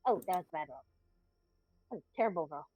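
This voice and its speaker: A woman's voice: fairly low pitch, lively intonation, quick pace, warm tone, no echo. A woman chatting casually as a tabletop game player, thinking aloud hesitantly, on slightly dull call audio. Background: background music.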